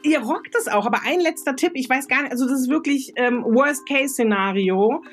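A woman's voice: high-pitched, brisk. Woman chatting off the cuff, encouraging tips, feels for words, faint music behind. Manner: hesitant, searching for words, encouraging. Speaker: guest radio drama author giving advice. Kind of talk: spontaneous advice in casual conversation.

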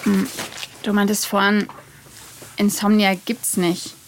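A woman's voice: mid-range voice, level tone, slow, a little hiss or hum. A woman playing an eager young fan interviewing someone in a fiction podcast, hesitant but persistent and natural in delivery. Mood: awkward, insistent.

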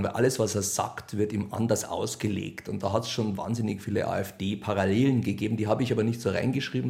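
Low, gravelly Bavarian man talking casually. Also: a little mellow. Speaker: radio play author and director being interviewed. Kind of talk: casual interview talk.

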